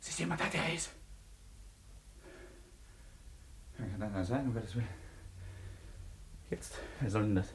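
Radio play role: uneasy, over-attentive host, lower register with restless swings, flustered and polite, on a noisy old recording. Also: male voice, lively intonation, fairly quick. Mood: nervous, hushed. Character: Laurent, uneasy man playing the attentive host.

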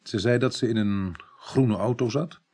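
An actor playing a businesslike, matter-of-fact police detective in a Dutch radio drama, speaking colloquially with slight hesitations. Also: male voice, medium pitch, normal speed, a little mellow, lightly acted. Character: businesslike male police detective reporting on a case. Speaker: police investigator.

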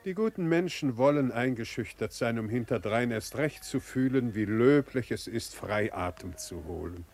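A man's voice: medium pitch, animated, normal speed, a little mellow. A man acting in a German radio play as a cautious, talkative older princely uncle, courtly and given to philosophising. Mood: wry, reflective.

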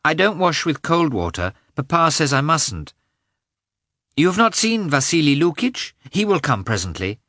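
Guy doing a little boy in an audiobook, high voice, sweet and eager, gets excited easily. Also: moderate pace, no room sound, studio quality, full character voice. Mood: eager, sleepy. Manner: eager, childlike exclamations. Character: small sleepy, affectionate, excitable boy. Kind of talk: audiobook character voice.

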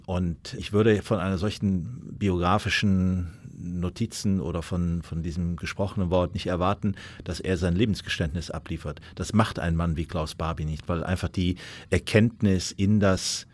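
A man giving reflective expert commentary in an interview, choosing words deliberately and repeating them for emphasis. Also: deep voice, fairly even intonation, darkish tone. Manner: deliberate, searching phrasing with emphatic repetition.

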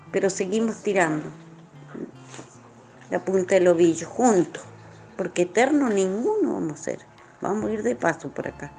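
A woman's voice: lowish voice, creaky, warm tone. A woman talking informally and reflectively, in an earnest, rambling way. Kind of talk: informal reflective interview talk.

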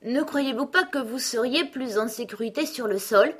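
Woman doing a sweet polite little girl for a story, high voice, gentle and earnest. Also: normal speed, husky. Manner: polite, soft-spoken, earnest. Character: polite, gentle seven-year-old girl. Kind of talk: storybook character acting.

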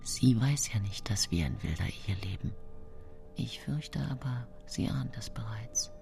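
A guilty mother's inner monologue in a radio play. Her low voice is hushed and breathy, mostly whispering as she confides secret thoughts. Music plays clearly behind her. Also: expressive, unhurried, exaggerated voice. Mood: anxious, suspicious.

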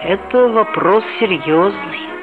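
An actress playing an earnest, mischievous schoolboy who narrates a radio play in a mock-serious, expressive way. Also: female voice, mid-range voice, slow pace, music in the background, lightly acted. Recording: tinny vintage 1960s radio recording.

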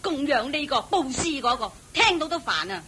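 A woman's voice: sits high, big pitch swings, moderate pace, sharp tone, slight background noise, heavily acted. An actress with a Hong Kong Cantonese accent voicing a stubborn, grumbling female housekeeper in a radio drama. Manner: petulant, sulky and defiant. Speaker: voice actor.

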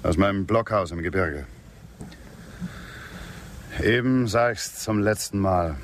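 An actor playing a calm, nostalgic Western frontiersman in a German radio drama, plain-spoken and pensive. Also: low voice, slow pace, a little hiss or hum.

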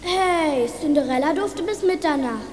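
High and echoing, a young ghost boy of about twelve. He is eager, innocent and wide-eyed, speaking in a radio play with hall reverb. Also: lively intonation, unhurried. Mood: indignant, pleading. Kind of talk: film audio drama acting.

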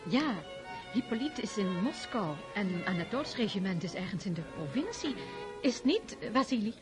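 A genteel middle-aged princess acted by a woman in a radio drama, sociable and effusive. Her voice sits at a medium female pitch with very lively melody, over clearly heard music. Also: moderate pace, lightly acted. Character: genteel aristocratic princess, a sociable mother of grown children. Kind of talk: radio play acting.

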